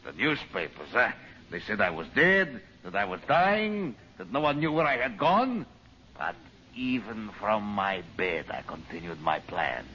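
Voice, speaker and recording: An actor playing a megalomaniac villain bent on ruling the world, grand and sneering, in old-time radio drama style. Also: male voice, lowish voice, sing-song, measured pace, bright, forceful tone, heavily acted. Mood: gloating. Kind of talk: 1940s radio acting. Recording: dull old radio broadcast with hiss.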